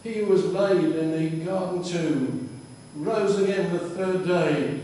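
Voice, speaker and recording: Male prayer leader, mellow and devout, distant in a very reverberant church. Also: sits high, takes it slowly, a little hiss or hum. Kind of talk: read prayer. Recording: distant mic in echoey church.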